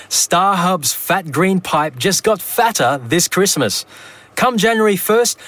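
A man's voice: high-pitched, a bit bright. A man working as a voice-over artist, reading scripted advertising narration with a polished announcer delivery.

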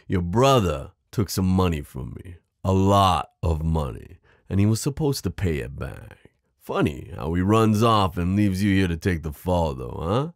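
A man voicing a smooth, possessive mafia boss in an ASMR roleplay, menacing yet protective, teasing the listener with pet names. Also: low voice, dry recording, studio quality. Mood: smug menace. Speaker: audio roleplay performer. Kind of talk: ASMR roleplay drama acting.

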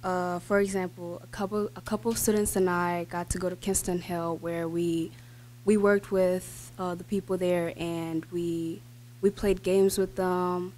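A teenage girl reads her prepared remarks at a board meeting podium, stopping for fillers and small restarts. Her voice sits low and stays even. A faint hum runs under the recording. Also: measured pace. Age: teens. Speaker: student speaker. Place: school board meeting room. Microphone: podium microphone.

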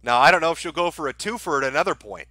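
A man's voice: a little high, expressive, rapid-fire, sharp tone, no echo. A man giving casual livestream commentary as host, with a sardonic, mocking and profane way of talking.

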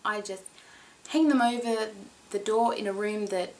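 A woman in her thirties hosting a casual how-to vlog from a small room at home, talking straight to the camera in a chatty, animated way. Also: medium pitch, unhurried. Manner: chatty and animated, with expressive emphasis. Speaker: vlogger.

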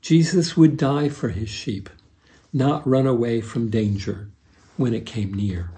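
An American man in his seventies giving a scripted sermon as a pastor, measured and deliberate, with pauses. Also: mid-range voice, warm tone. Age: 70s. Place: furnished room with fireplace, indoors.

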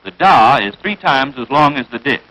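Lively, bright-voiced American male film narrator. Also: mid-range voice, normal speed, rough recording. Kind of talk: 1940s military instructional film narration, scripted. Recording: vintage 1940s film soundtrack.

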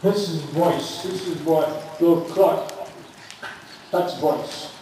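A man's voice: on the high side, slow, warm tone. A man coaching riders at a show jumping clinic, calling out terse, emphatic instructions and repeating short commands. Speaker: horse riding coach. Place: large covered indoor riding arena. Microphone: distant camera at the side of the arena. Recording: echoey riding arena, distant mic, some noise.

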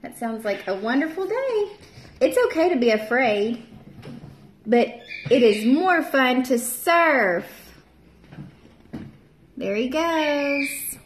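A woman in her thirties reads a children's picture book aloud at a slow, patient pace. Her voice swoops up and down with playful character voices. She is recorded in an echoey room by a camera sitting at table distance. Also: sits high, sing-song, a little hiss or hum. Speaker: teacher.

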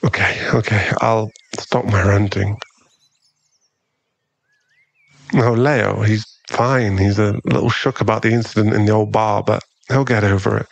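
Man playing a low, gravelly, brooding mafia boss. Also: fairly even intonation, moderate pace, few pauses, dry recording. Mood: calm, firm. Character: brooding, protective male mafia boss in love. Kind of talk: ASMR boyfriend roleplay.

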